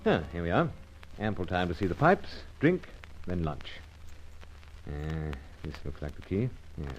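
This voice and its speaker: An actor playing a suave British secret agent, clipped, terse and wry, in an old radio serial. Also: male voice, low-pitched, very animated, measured pace. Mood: suave, breezy. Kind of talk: mid-century radio serial acting with narration. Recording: dull, noisy old radio recording.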